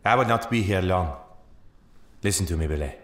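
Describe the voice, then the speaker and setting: A man's voice: sits low, a little fast, a bit bright. An actor playing a secretive, troubled French father with a hesitant manner in a radio drama. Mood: guarded.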